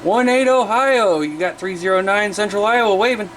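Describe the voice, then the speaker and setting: A man's voice: high voice, moderate pace, very noisy. An American man chatting enthusiastically as a CB radio operator, talking to other operators and to his viewers. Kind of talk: casual radio chat and vlogging to viewers.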